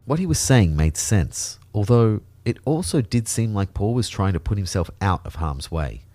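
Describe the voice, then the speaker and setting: An actor playing an uneasy, observant narrator in a first-person audio drama, speaking in a reflective, measured way. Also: male voice, lowish voice, very animated, mellow, no echo. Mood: wary.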